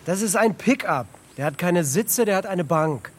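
Volatile young father, wildly swinging pitch. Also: lively intonation, moderate pace. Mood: proud. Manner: curt, commanding. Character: volatile, hard-bitten young father of three boys. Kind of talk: radio drama acting.

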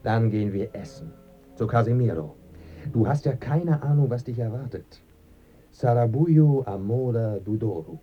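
A man's voice: medium pitch, lively intonation, moderate pace, breathy edge, highs cut off, soft background music. A man acting in a German radio play as a dead writer, a bantering, evasive, pleasure-loving friend who savours his words. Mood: jovial, evasive.

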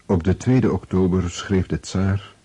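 Deep, very husky male narrator. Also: mellow. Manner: measured, serious. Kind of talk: scripted literary narration for radio drama.

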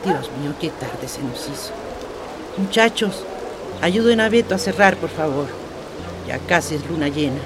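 An actress in a radio drama playing a weary, bossy but fond old grandmother who scolds gently in plain words. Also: low voice, animated, normal speed, long pauses, loud music behind the voice. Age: older.

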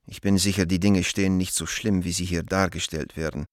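An actor playing a worried, loyal gentleman who reads his letter to an old friend in a measured, formal way for a radio drama. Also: low-pitched, little pitch movement, dry recording, studio quality, close to an everyday voice. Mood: worried, restrained.

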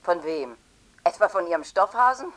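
A woman's voice: fairly low pitch, lively intonation, moderate pace, far from the mic, natural voice. A woman playing a reproachful, scornful friend with a cutting way of talking in a radio play.

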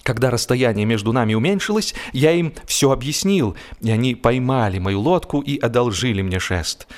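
A man reads a humorous story as an audiobook narrator, in an expressive, animated storytelling manner. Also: mid-range voice, moderate pace.

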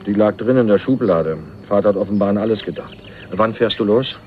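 Radio thriller role, male actor: guarded young heir, low register, offhand delivery, narrow-band sound. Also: brisk, slight background noise, close to an everyday voice. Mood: confident. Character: young man, heir to family land, guarded and casual. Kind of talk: radio crime drama acting. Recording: band-limited radio play recording.

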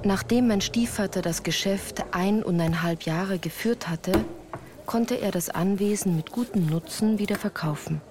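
An actress playing a grown woman who recounts a harsh childhood in measured, composed radio play narration. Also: mid-range voice, background noise, close to an everyday voice. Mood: measured, reflective. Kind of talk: literary first-person radio play narration.

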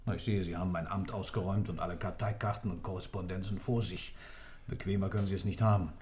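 An actor playing an old accused man in a radio play, cryptic and proud, speaking in a measured, ironic, superior way. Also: deep voice, steady tone, a little mellow, natural voice. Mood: proud, confiding. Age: older. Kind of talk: German radio play acting.

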